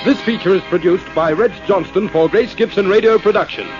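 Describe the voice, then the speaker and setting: A man's voice: sits high, fairly quick. A man with an Australian accent announcing in 1940s radio style. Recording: dull old radio recording. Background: music.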